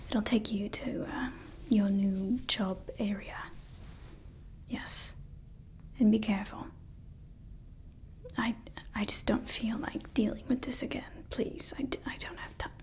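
A woman's voice: mid-range voice, darkish tone, lightly acted. A young woman playing a haughty female evil scientist in an ASMR roleplay, whispering close to the mic, scolding her staff and fussing over her creation. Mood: irritated, scolding. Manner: mostly whispered, scolding and fussy. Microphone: close sensitive ASMR mic.

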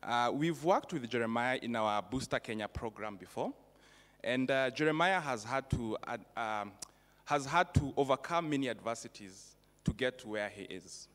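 A young man from Rwanda presenting an award at an event, talking in a relaxed, self-joking way with some fillers, into a handheld microphone on a stage. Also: mid-range voice, normal speed. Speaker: foundation representative presenting an award. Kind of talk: informal speech at an awards event. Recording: reverberant event hall.

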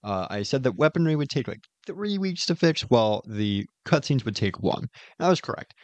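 A man's voice: mid-range voice, sing-song, moderate pace, dry recording. A man giving an informal voice-over progress update as a game mod developer, recorded close on a slightly dull microphone.

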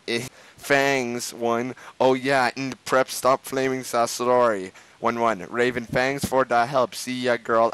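Guy doing a mock dramatic story reading, theatrical, trips over words, normal pitch. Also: a bit bright, clean tone, dry recording, low-quality recording. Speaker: host of a dramatic fan fiction reading.

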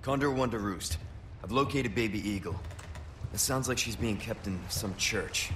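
Young American action-game agent, male actor, low, terse, commanding, guarded, over clear background noise. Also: normal speed, natural voice. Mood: dry, businesslike. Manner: curt, dry, commanding. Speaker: video game character. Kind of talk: cinematic game voice acting.

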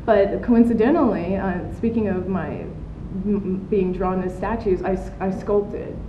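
A woman in her thirties from the United States talks in a relaxed interview, rambling and reflective, full of fillers and restarts. Her voice sits at a middle pitch and is caught by a distant camera mic outdoors. The sound is roomy and dull, with a low rumble and faint noise behind it. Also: unhurried. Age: 30s. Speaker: artist being interviewed. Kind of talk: casual interview conversation. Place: outdoor patio of an art studio.

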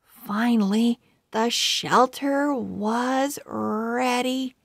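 A woman narrating a children's storybook aloud, expressive and carefully articulated. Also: a little high, extremely slow, creaky, no room sound, clean studio sound. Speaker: children's book read-aloud host.

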